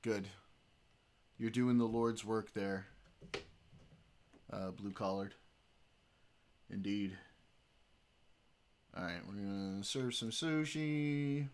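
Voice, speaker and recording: A man's voice: on the low side, takes it slowly, long pauses, a little mellow. A man hosting a livestream, chatting casually with his chat while he plays a game. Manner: laid-back, talks to chat while playing. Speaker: livestream host.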